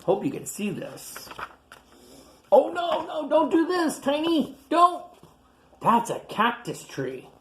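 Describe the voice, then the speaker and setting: A woman reading a picture book aloud to children, animated, gasping and exclaiming at the pictures. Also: high-pitched, slow. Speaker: storyteller.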